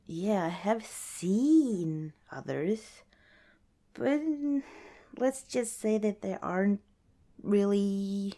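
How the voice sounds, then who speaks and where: A woman playing a kind, cautious young scavenger in an ASMR roleplay, speaking softly and hesitantly, as if chatting to the listener. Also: mid-range voice, expressive, drawn-out words. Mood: candid, relaxed. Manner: soft, hesitant, conversational, with sighs and small laughs.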